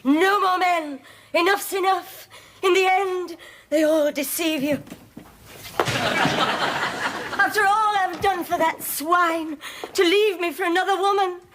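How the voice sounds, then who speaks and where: A woman's voice: extremely high pitch, slow pace, pauses often, noisy background. A melodramatic, man-mad woman. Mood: heartbroken. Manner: theatrical, self-pitying. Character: flirty, dramatic, man-mad middle-aged woman.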